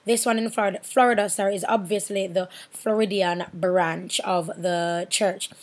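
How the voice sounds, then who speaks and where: A hoarse young woman with a Jamaican accent, rambling casually with many fillers in a makeup tutorial voiceover. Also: mid-range voice, steady tone, normal speed. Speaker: makeup artist.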